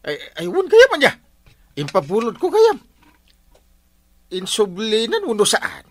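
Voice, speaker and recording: An actor with an Ilocano accent playing a hapless, forgetful, henpecked village captain in a radio comedy drama, whining and sputtering. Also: male voice, high-pitched, huge pitch swings, unhurried, fairly bright tone, heavily acted. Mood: flustered.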